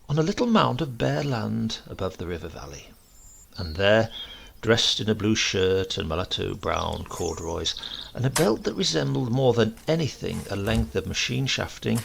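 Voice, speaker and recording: A man narrates a literary tale in a very gravelly, creaky voice. His pitch moves in lively sweeps as he shapes each phrase deliberately. Faint noise sits behind the reading. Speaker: reader of a comic short story. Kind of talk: scripted narration, literary storytelling.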